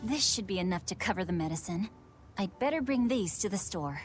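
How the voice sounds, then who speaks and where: A woman voicing an earnest, spirited teenage village huntress in a video game, with expressive delivery. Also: mid-range voice, brisk, faint music behind, lightly acted. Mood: brisk, musing.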